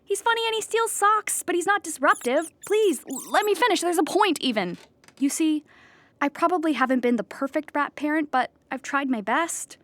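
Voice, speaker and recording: A woman's voice: high voice, lively intonation, normal speed, a bit bright, dry recording. A woman playing an earnest, lonely, depressed clown pleading before judges in an audio drama, rambling and childlike as she justifies herself. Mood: flustered, pleading.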